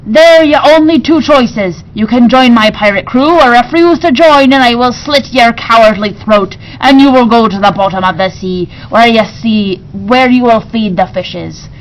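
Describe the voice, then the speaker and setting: A woman's voice: fairly high pitch, normal speed, hardly any pauses, slight background noise, pitched above the performer's own voice, natural voice, poor audio. A woman voicing a bossy, menacing pirate queen in a storybook, speaking imperiously and threateningly. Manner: imperious, threatening, old-time pirate phrasing.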